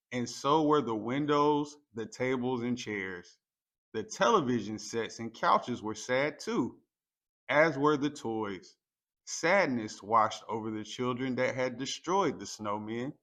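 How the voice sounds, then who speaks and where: A man reading a children's picture book aloud as a storyteller, in a deliberate, expressive way. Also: medium pitch. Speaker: storytime reader.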